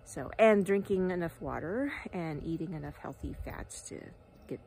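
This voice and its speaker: A woman's voice: fairly low pitch, animated, normal speed, mellow. A woman in her forties, a wellness guide, chatting casually to her phone camera outdoors, rambling with many fillers and sometimes dropping to a whisper. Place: outdoors at a ranch beside a travel trailer. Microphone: phone held at arm's length.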